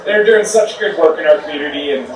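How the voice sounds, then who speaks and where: Quick high-voiced man introducing a speaker. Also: animated. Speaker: event host introducing speakers. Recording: echoey hall, distant mic, noise.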